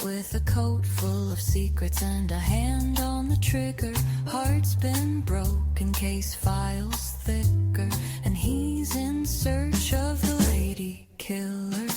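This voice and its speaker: A woman's voice: on the high side, takes it slowly, few pauses. A woman performing noir theme song lyrics over music. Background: loud music.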